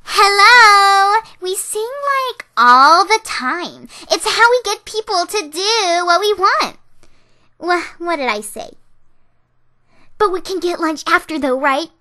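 A woman's voice: extremely high pitch, takes it slowly, bright, forceful tone, heavily acted. A woman voicing a ditzy, bubbly, naive teenage siren girl from a cartoon in a chirpy, airheaded way. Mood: perky, naive. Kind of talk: cartoon character voice reel.

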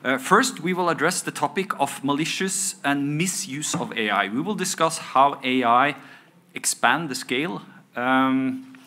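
A man's voice: medium pitch, a little slow, bright, forceful tone. A middle-aged man introducing a conference panel from notes, with frequent fillers and restarts. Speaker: panel moderator. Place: conference panel stage indoors. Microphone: table microphone on stand.

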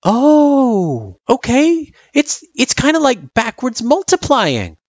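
A storyteller voicing a young girl, an eager but unsure pupil who speaks earnestly and haltingly in an audiobook-style story. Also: mid-range voice, sing-song, no room sound, muffled recording, voice pushed up. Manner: hesitant, stammering, earnest.

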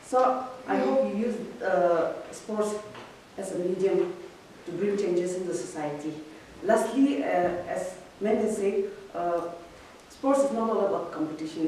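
A woman's voice: on the low side, a little slow, mellow, distant mic. A young woman with a Northeast Indian accent giving an informal motivational speech as a guest, hesitating and searching for words. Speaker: guest speaker, youth and sports officer. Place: echoey indoor hall, on a stage. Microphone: microphone on a stand. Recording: very echoey hall, off-mic, faint noise.

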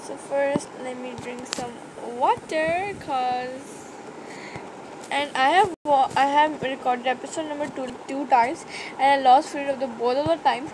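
A woman's voice: high-pitched, measured pace. A young woman with a sore throat, rambling through casual gameplay commentary with many fillers and restarts. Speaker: gaming channel host. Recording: noisy screen-recorded mic audio.

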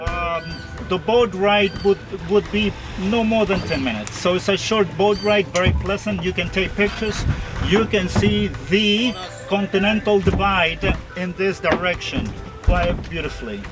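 A man's voice: high voice, measured pace, low-quality recording. A man in his forties working as a tour guide outdoors, explaining the sights and checking that listeners follow. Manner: checks understanding with "okay?". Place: outdoors at shore and on jungle trail. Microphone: camera a short distance away. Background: music.

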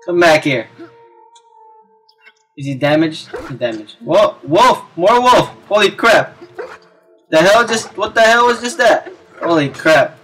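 A man's voice: high voice, sing-song, measured pace, pauses often, a bit bright, low-quality recording. A man giving casual gameplay commentary, exclaiming and reacting as he talks to himself. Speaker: let's play gamer. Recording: game music behind the voice. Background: video game music.

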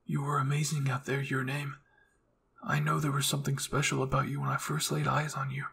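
Man voicing male soldier spirit, soft, earnest, regretful, creaky, anime storytelling. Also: mid-range voice, level tone, brisk, a little hiss or hum. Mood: gentle regret.

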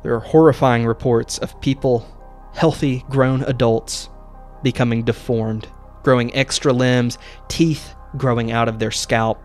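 A man with a medium-low voice narrates a horror recap as a video host. He tells it deliberately and with drama, like scripted storytelling, while music plays underneath. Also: a little mellow. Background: background music.

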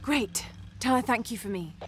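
A woman's voice: on the high side, animated, moderate pace, noisy background, natural voice. An actress playing a determined, troubled young woman adventurer in a video game, speaking in an earnest, naturalistic way. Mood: matter-of-fact.

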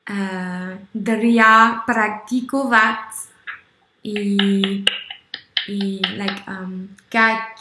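Slow, hesitant young French woman. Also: medium pitch, steady tone, bright, forceful tone, a little hiss or hum. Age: young adult. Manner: hesitant, searching for words, many fillers and self-corrections. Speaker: language-learning video host. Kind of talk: halting conversation in a learned language. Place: small room indoors. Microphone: red headphones, webcam video call. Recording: roomy video call.

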